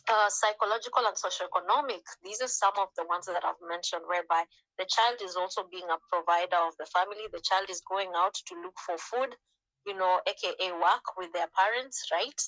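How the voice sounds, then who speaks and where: A woman from Africa giving an informal talk to a group on a video call, switching to lively voices when quoting parents. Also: brisk, no room sound, rough recording. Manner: conversational, acts out quoted parents with jumps in pitch.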